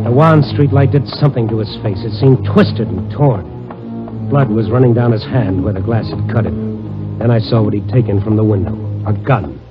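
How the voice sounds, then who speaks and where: A man's voice: mid-range voice, close to an everyday voice. An actor with an American accent playing a wry, tough investigator who narrates his case in an old-time radio drama. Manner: wry, hardboiled delivery. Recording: dull vintage radio recording with music.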